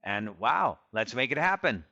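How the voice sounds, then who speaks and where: A man in his fifties from Costa Rica, speaking with a bright, forward tone on a podcast. He sounds earnest and motivational and often repeats phrases for emphasis. The recording is dry and close, with little room sound. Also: mid-range voice, sing-song, unhurried, creaky, dry recording, lo-fi sound. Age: 50s. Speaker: podcast co-host. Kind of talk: casual conversational podcast.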